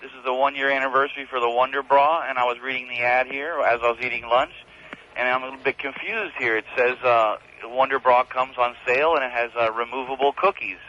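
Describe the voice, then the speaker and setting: A man's voice: medium pitch, steady tone, fast talker, bright, forceful tone, slight background noise. A man calling a radio show, folksy and puzzled. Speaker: caller. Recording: dull radio call.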